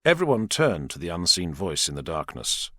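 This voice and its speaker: A man narrating dramatic fiction in a measured, expressive storytelling manner. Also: sits low, no echo, clean studio sound. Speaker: audiobook narrator.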